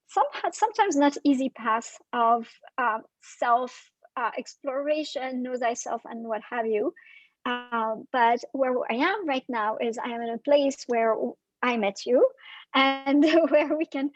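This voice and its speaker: A woman in her forties from Syria, a life and career coach, speaking reflectively as an interview guest with frequent pauses and fillers. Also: high-pitched, no echo, soft background music, poor audio. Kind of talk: guest in casual video interview.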